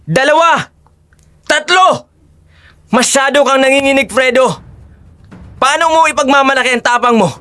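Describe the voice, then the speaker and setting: A man's voice: very high-pitched, sharp tone. A man playing a righteous, commanding horseman who taunts the fugitive he pursues in an audio drama.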